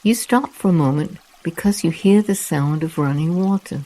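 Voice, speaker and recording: A meditation guide narrating a guided relaxation walk in a soft, soothing, unhurried way with long pauses. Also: mid-range voice.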